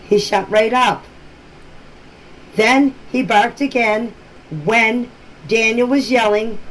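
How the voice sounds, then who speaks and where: Plain-spoken woman in a noisy voice message. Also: a little high. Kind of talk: informal explanatory talk in voice messages. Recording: noisy amateur voice-message recording.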